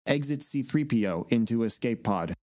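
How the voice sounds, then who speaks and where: A man's voice: medium pitch, expressive, normal speed, a little mellow, no echo. Man narrating stage directions, dry sound.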